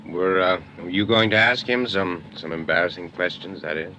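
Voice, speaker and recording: Very deep, sly radio villain. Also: male voice, steady tone, bright, forceful tone. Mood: veiled threat. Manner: hesitant drawn-out phrasing, insinuating. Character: menacing, sly small-town crooked contractor, adult man. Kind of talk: 1950s radio drama acting. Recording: dull, noisy vintage radio recording.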